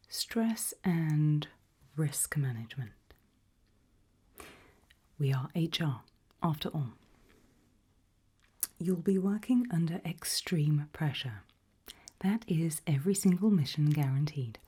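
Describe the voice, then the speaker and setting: A woman in an ASMR roleplay voicing a polite, quietly menacing HR interviewer in a breathy, deliberate whisper. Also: sits low, expressive, heavily acted. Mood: calm, probing. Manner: slow, deliberate, softly spoken.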